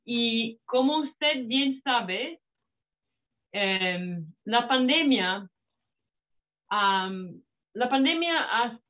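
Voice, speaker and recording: A woman whose first language is Italian gives a formal welcome speech in a strong Italian accent, talking haltingly with frequent restarts over a video call. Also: on the high side, expressive. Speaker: network manager opening a panel. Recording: phone-like narrow sound.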